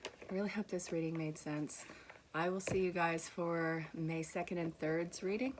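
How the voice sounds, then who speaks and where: A young woman with a low voice and an accent that mixes soft Vermont with New York, talking straight to her viewers. She gives a casual, reassuring spiritual reading and teases gently as she reads card messages aloud. A faint hiss of room noise sits under her voice. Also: steady tone, normal speed. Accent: mixed Vermont and New York. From Vermont, USA. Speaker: tarot reader.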